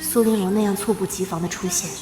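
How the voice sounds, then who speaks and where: A woman playing a young noblewoman reborn for revenge, narrating solemnly and with measured drama. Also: mid-range voice, steady tone, close to an everyday voice. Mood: wistful, measured. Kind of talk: dramatic first-person narration for an animated period drama. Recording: echoey long reverb over loud music.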